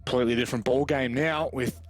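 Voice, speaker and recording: A man's voice: medium pitch, fairly even intonation, quick pace, soft background music. A man giving live play-by-play commentary on an esports match. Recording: noisy, game gunfire behind voice.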